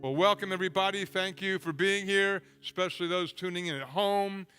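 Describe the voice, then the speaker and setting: A man with a somewhat high voice leads a worship service in a synagogue sanctuary. He sings slow repeated lines and talks casually to the congregation. A guitar plays clearly behind him. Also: bright, forceful tone. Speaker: service leader. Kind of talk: singing and leading a worship service. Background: guitar music.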